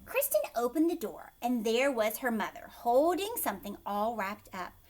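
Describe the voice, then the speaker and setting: A woman's voice: a little high, big pitch swings, moderate pace, darkish tone. A woman hosting a children's read-aloud, narrating a storybook expressively and breaking off to question her young listeners in an emphatic way.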